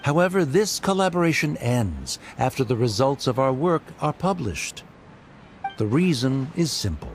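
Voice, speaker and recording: An actor playing a haughty, coldly rational scholar in a video game, speaking formally and condescendingly. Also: male voice, mid-range voice, very animated, normal speed, slight background noise. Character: haughty male scholar accuser, cold and coolly rational. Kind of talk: scripted video game dialogue.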